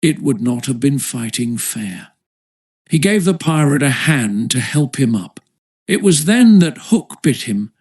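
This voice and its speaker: A man's voice: mid-range voice, very animated, unhurried, a little mellow, slightly rough. A man narrates a children's adventure audiobook in a mid-range voice. He reads literary prose as a storyteller would, following a written script. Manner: storytelling, literary read-aloud delivery.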